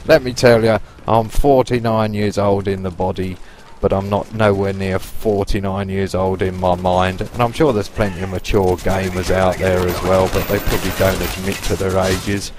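A man's voice: sits low, little pitch movement, moderate pace, few pauses, noisy background. Chatty Lancashire man in his forties. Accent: Lancashire English. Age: 40s. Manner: chatty, rambling and cheeky, with mild swearing. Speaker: gaming YouTuber. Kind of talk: casual gameplay commentary.

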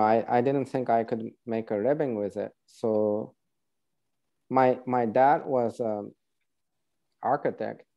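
A man, a painter being interviewed on a video call, recalling his life casually and haltingly. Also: mid-range voice, creaky. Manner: halting, searching for words. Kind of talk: casual personal recollection. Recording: slightly dull video call audio.

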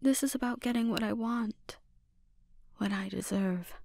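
A woman playing a menacing, seductive sorceress and obsessive mother in a breathy, often whispered ASMR roleplay, speaking deliberately and with quiet threat. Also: fairly high pitch, no echo, studio quality.

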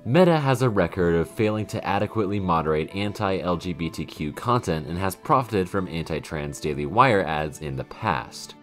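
A man reads a quoted news article aloud with a very deep voice. His pitch moves a lot as he reads, and soft music plays faintly underneath. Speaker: narrator reading a quoted article. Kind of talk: read-aloud news quotation.

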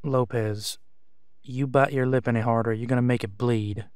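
Werewolf pack leader male actor, audio drama. Teasing, commanding but low-key, crisp close dry sound. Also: steady tone, moderate pace, darkish tone, dry recording, close to an everyday voice. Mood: calm, businesslike. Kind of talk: roleplay audio drama acting.

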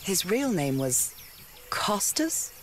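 A woman's voice: mid-range voice, sing-song, slow pace, noisy background. An actress playing a curious 1930s woman explorer in a video game, musing to herself in a thoughtful, wry way. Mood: wry, pondering. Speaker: game protagonist narrator. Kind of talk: scripted musing monologue. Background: background music.

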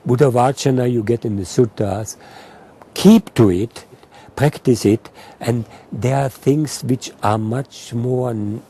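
An older man, a Buddhist monk, speaking reflectively and haltingly in an outdoor documentary interview. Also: mid-range voice, dark tone, slightly rough. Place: outdoors in a green garden.

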